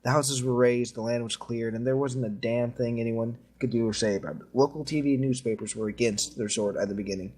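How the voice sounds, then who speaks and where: A man's voice: medium pitch, fairly even intonation, quick pace, hardly any pauses, darkish tone. A man reading a creepy story aloud to friends in an informal way. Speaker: story reader.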